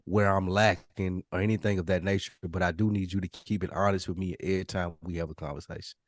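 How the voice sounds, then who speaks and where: Blunt, low-voiced man on a podcast. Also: steady tone, fast talker, no room sound, studio quality. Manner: blunt, slangy, swears freely. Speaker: podcast panelist. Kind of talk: casual group discussion.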